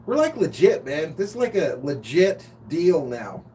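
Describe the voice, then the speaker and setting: Informal American man streaming, high-pitched, distant mic. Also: big pitch swings, a little slow, mellow. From Los Angeles, United States. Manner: informal, chummy, reads out chat comments. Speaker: live stream sports host. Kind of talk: casual chat with viewers and game commentary. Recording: distant mic, noisy hum.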